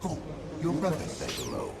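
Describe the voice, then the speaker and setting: A male actor voicing a sadistic undead warden spirit in a video game, gravelly and sometimes whispering, taunting and savoring each word of misery. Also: fairly high pitch, wildly swooping pitch, moderate pace, exaggerated voice. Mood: cruel relish. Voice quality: gravelly, breathy whispering at times. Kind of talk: video game villain acting. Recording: game clip with loud music behind.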